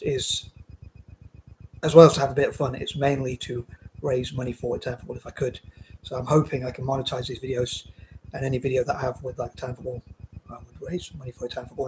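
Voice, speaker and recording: Monotone man rambling over background noise. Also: mid-range voice, poor audio. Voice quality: flat, monotone delivery. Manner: hesitant, meandering. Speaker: amateur video creator introducing a reaction video. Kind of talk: rambling casual vlog talk.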